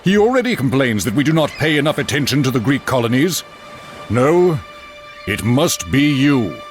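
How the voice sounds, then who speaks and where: An actor playing an insistent, grave religious advisor in a mythological video game, urgent and portentous. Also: male voice, medium pitch, very animated, moderate pace, faint music behind, natural voice. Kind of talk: video game cutscene acting.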